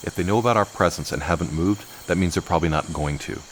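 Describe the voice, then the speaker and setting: An actor playing a wry, protective expedition leader in an audio drama, with a dry, clipped, commanding way of talking. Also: male voice, low-pitched, fast talker, a little hiss or hum, lightly acted. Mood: dry, calm.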